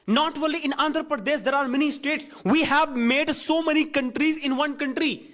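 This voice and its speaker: A man with an Indian English accent, a teacher moderating a classroom debate, brisk and prompting, often repeating his points. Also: very high-pitched, fairly bright tone.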